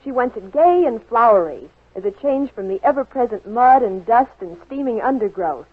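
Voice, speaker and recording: A woman's voice: fairly high pitch, expressive, normal speed, breathy edge, no echo. An American woman playing out scripted dialogue as a spokeswoman in a 1940s radio commercial. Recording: muffled, dry 1940s radio broadcast.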